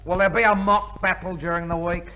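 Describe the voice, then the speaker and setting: An actor playing a cheeky, questioning army recruit in a 1950s radio comedy sketch. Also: male voice, sits high, fairly even intonation, a little fast, bright, forceful tone. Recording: old 1950s radio recording.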